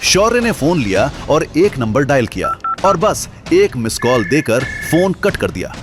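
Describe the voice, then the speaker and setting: A man's voice: mid-range voice, very animated, a little slow. A man narrating a scripted drama story in a dramatic storytelling style. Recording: loud music bed under voice. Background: dramatic music.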